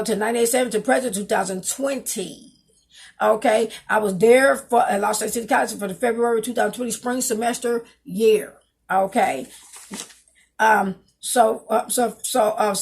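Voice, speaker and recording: A woman's voice: a little high, breathy edge. A woman in her fifties talking to her webcam at home, reading from her resume in a rambling way and spelling out words. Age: 50s. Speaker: vlogger, singer and dancer. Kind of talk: casual talk to camera, reading from her resume. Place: small home room, indoors. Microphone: webcam on a desk.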